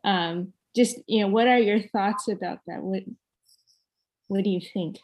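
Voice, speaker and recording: A woman's voice: mid-range voice, measured pace, a little mellow, slight background noise. Thoughtful woman asking probing questions. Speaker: teacher co-host. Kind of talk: interview questions.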